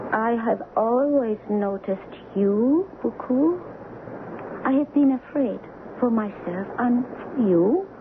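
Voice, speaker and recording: A grave, secretive young woman in an old radio drama. The voice is high and slow, each formal phrase carefully measured. The recording is thin and phone-like, with faint noise. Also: full character voice. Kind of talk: 1970s radio drama acting.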